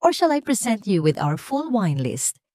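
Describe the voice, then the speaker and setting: A woman narrator voicing a poised young waitress in an audio story, calm and polite but pointed, with careful, emphatic diction. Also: mid-range voice, a little slow, dry recording, clean studio sound, voice pushed up. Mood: politely pointed.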